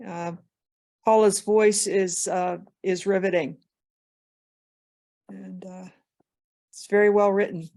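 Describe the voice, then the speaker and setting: A woman's voice: mid-range voice, steady tone, takes it slowly, pauses often, mellow. A woman talking casually and admiringly in a group discussion. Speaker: participant in a community discussion.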